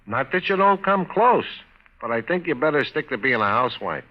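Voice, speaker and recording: An actor playing a practical, teasing husband with a wry, patient manner in a vintage radio comedy advert. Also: male voice, medium pitch, sing-song, a little fast. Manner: wry, explanatory. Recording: muffled vintage radio broadcast.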